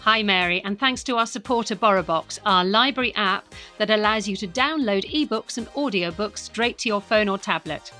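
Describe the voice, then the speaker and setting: A woman's voice: mid-range voice, normal speed, few pauses, pushed and bright, faint music behind. A British woman co-hosting a podcast, moving between chatty presenting and scripted reading. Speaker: podcast co-host.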